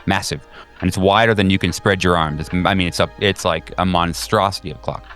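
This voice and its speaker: A man narrating a tabletop horror game as game master, informally, in halting phrases with repeated words. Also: deep voice, fairly even intonation, fairly bright tone, faint music behind.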